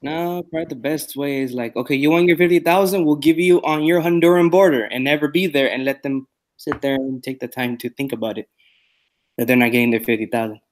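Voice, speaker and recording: A man's voice: mid-range voice, steady tone, brisk. Joking man, Spanish native speaker. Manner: joking, talks over others. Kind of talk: casual podcast banter.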